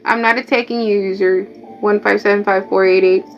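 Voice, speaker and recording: A woman's voice: mid-range voice, fairly even intonation, fairly quick. A woman from Mexico with a Mexican Spanish accent, a native Spanish speaker, giving a chatty live tarot reading and drifting into asides. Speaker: tarot reader. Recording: dull lo-fi audio, faint music.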